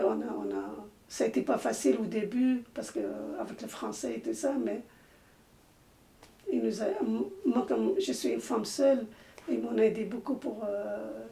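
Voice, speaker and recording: Older woman from turkey, arabic native, gravelly mellow voice, stops and restarts a lot, echoey room, sounds far from the mic. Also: a little high. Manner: hesitant, halting speech with many restarts. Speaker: interviewee, mother. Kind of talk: personal testimony.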